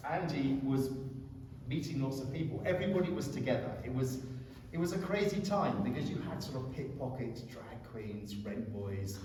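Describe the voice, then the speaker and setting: A man's voice: mid-range voice, animated, normal speed, a little mellow. A man interviewing a guest on stage at a conference, chatty and teasing as he prompts the story. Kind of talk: onstage conversation at a conference. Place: conference venue hall. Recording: echoey hall, distant mic, noisy room.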